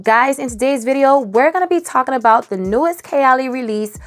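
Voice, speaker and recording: A woman with a hoarse, raspy voice after a cold, chatting animatedly as she reviews a perfume. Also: high voice, a little fast, slight background noise. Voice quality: raspy, dry, cracking. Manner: animated, emphatic, tosses in "okay?". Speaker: fragrance reviewer. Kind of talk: casual chatty review to camera.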